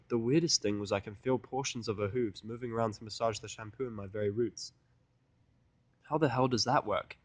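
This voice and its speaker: A man's voice: medium pitch, no room sound. A man reading a first-person fan fiction audiodrama in a conversational, blunt, sardonic and profane manner. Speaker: fan fiction reader and narrator.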